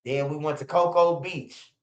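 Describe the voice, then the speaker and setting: A man in his forties talks in a slightly high voice, rambling through street stories on a livestream. He is blunt and profane and keeps dropping "bro" and "man." The sound is dull and lo-fi. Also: steady tone, moderate pace, darkish tone. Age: 40s. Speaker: host of a street news channel. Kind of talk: casual livestream storytelling.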